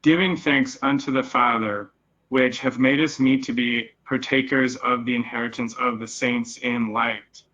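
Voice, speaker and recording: A man's voice: mid-range voice, steady tone, measured pace, sharp tone, husky. A synthetic male voice reciting a scripture verse, garbling words at the end. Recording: dull lo-fi audio.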